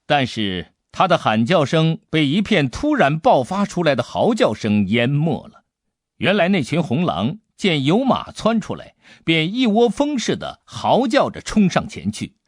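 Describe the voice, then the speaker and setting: A man narrating an adventure novel as an audiobook, with expressive, deliberate dramatic storytelling. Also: fairly high pitch, no room sound, clean studio sound.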